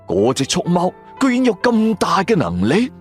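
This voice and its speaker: A man giving suspenseful, expressive narration of a scripted horror drama. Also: high voice, moderate pace, breathy edge, faint music behind. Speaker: storyteller narrator.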